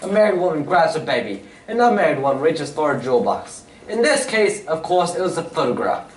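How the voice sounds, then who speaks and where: In a distant, echoey hall recording, a man acts a confident gentleman detective of old radio drama. The voice is fairly high and very animated, with formal, old-fashioned turns of phrase and a theatrical, explanatory manner. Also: fairly quick. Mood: assured, explanatory. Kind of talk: 1940s-style radio drama stage acting. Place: community theatre stage reading. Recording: echoey hall, distant mic, faint noise.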